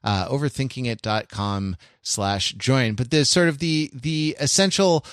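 A man hosting a casual panel podcast, talking in a halting, rambling way and often interrupting himself. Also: mid-range voice, a bit bright, clear, resonant tone, no room sound, studio quality. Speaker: podcast host.

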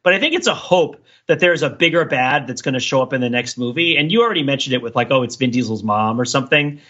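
A man's voice: medium pitch, quick pace, sharp tone, muffled recording. A man giving casual film analysis as a podcast panelist, thinking aloud and checking for agreement.

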